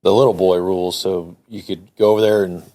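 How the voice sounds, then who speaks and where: A man's voice: low-pitched, fairly even intonation, fairly quick, mellow. A male interview host who asks long, wandering questions and politely addresses his guest as sir. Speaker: podcast host.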